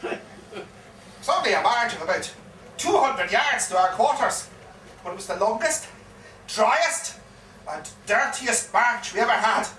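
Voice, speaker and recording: Man acting animated, bright-voiced Irish old soldier spinning yarns. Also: high-pitched, a little slow, pauses often, full character voice. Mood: wry, wheedling. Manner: theatrical, dramatic storytelling with repeated phrases. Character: garrulous male old soldier spinning a desert war yarn. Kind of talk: live stage performance of a comic one-act play. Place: live performance in a pub. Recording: echoey, distant live pub recording, faint noise.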